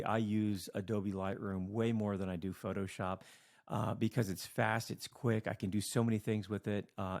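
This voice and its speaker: A tired middle-aged man and teacher, rambling with many fillers through a casual software walkthrough late at night. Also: lowish voice, creaky. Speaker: teacher and video creator.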